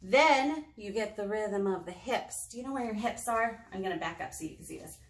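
An American woman in her thirties hosting a children's storytime, expressive and talking directly to small children in a room. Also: medium pitch, fairly quick, slight background noise. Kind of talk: children's storytime read-aloud with singing. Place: small indoor meeting room. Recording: roomy indoor echo.

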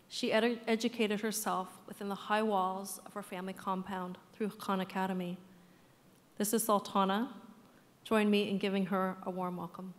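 Middle-aged woman host reading an intro at a podium, formal and steady, echoey hall. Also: medium pitch. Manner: measured, formal. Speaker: event host. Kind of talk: scripted introduction. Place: stage at a large event hall. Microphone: podium mic. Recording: hall reverb from a podium mic.

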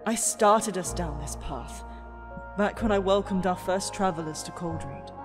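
A woman's voice: fairly low pitch, a little slow, lightly acted. A woman with an English accent playing a proud, weary storyteller and reluctant regent, theatrical and hesitant, in a fantasy audio drama. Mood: weary, defensive. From England. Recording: reverberant hall with music.

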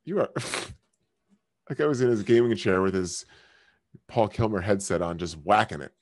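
A man hosting a live stream, heckling strangers in random video chats with loud, crude, swearing banter. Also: on the low side, lively intonation, moderate pace. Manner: loud, taunting, swearing often. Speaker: streamer host.

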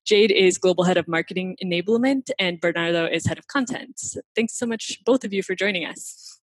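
A woman's voice: lowish voice, a little fast, no echo. American woman hosting an interview. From United States. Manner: friendly, giggly, with fillers. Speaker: podcast host. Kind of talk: casual video-call interview.

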